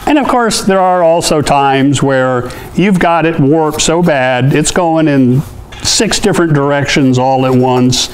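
A man's voice: on the high side, measured pace, poor audio. A man in his fifties giving a casual hands-on workshop tutorial in a folksy, conversational way. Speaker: artist and welding tips host. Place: indoor cluttered metal workshop.

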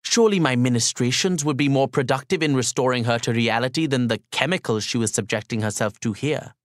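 A man reads first-person literary narration at a middling pitch. His delivery is formal, polite and measured. The recording is dry, with very little room sound. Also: no room sound. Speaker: audiobook narrator.

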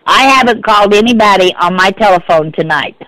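A woman's voice: mid-range voice, brisk, a bit bright, dry recording. A middle-aged woman, speaking insistently and repeating herself in exasperation on a phone call. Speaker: prank call victim. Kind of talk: phone conversation.

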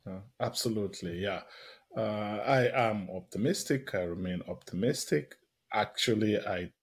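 Low husky man, emphatic interviewee. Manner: emphatic, rhetorical questions, repeats phrases. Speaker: interviewed professor. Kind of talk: conversational interview.

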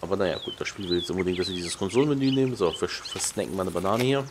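A man's voice: low-pitched, lively intonation, very fast, background noise. A man hosting a let's play, casually commenting, muttering to himself and reading out prompts. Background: game music and island sounds.

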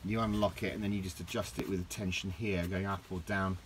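A middle-aged man with a low voice, rambling through a casual hands-on garden demonstration with lots of restarts. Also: level tone, brisk, noisy background. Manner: rambling, with many restarts and fillers. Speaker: DIY vlogger. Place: outdoors in a garden.

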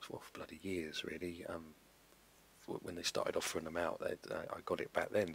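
Middle-aged YouTuber, low gravelly voice gone hoarse, grumbling casual commentary. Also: male voice, fairly bright tone. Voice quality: gravelly, husky. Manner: rambling, exasperated, many restarts. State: hoarse, recovering from flu. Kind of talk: casual screen-recorded commentary.

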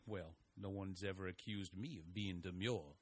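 A man voicing a calm, wry small-town husband with a dry, teasing manner. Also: low voice, moderate pace, slightly rough. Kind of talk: audio drama acting.

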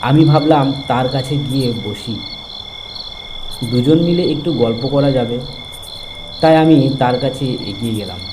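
A man's voice: mid-range voice, moderate pace, long pauses, noisy background, close to an everyday voice. A man narrating a first-person ghost story as a young vlogger, in a scripted storytelling style. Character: young male vlogger telling his ghostly tale. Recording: night insect ambience over voice.

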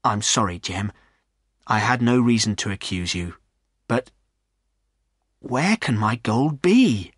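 A man's voice: mid-range voice, very animated, very slow, pauses often, slightly rough, dry recording, clean studio sound, full character voice. A man voicing a distressed village weaver in a storybook, crying out and pleading. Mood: miserable. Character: frantic, miserable robbed village weaver, male.

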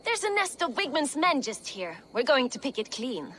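A woman's voice: high voice, big pitch swings, normal speed, a bit bright, slight background noise. A woman voicing an irreverent, brash Viking smuggler in a video game, with a cheeky, jocular manner.

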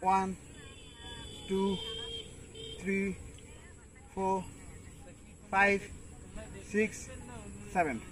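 High-voiced young man coaching outdoors. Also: completely flat delivery, extremely slow, pauses often, fairly bright tone. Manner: talks while lifting, counts reps aloud, many fillers. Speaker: fitness instructor. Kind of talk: casual workout demonstration. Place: open farm field outdoors. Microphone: camera at a distance. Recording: distant outdoor camera audio, faint noise.

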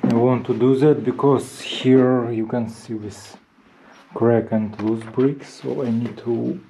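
A man in his thirties filming himself inside a brick farmhouse he is renovating, explaining the work in a plain, halting way on a handheld camera. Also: mid-range voice, steady tone, creaky, dark tone, slight background noise, rough recording. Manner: plain, halting, stops to point out details. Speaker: home renovator. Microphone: action camera held at arm's length.

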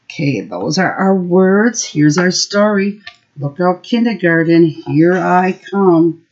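Slow, expressive woman teaching young children. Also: fairly low pitch. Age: middle-aged. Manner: simple, expressive, instructive. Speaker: preschool teacher. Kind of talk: story time for young children, explaining words and reading aloud. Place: small room at home. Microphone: built-in webcam or laptop mic. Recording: dull, lo-fi webcam audio.

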